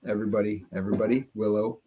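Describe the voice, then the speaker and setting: A man's voice: lowish voice, flat delivery, rapid-fire, warm tone, slightly rough. A man co-hosting a casual podcast chat, laid-back, often laughing and repeating his words. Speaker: podcast co-host.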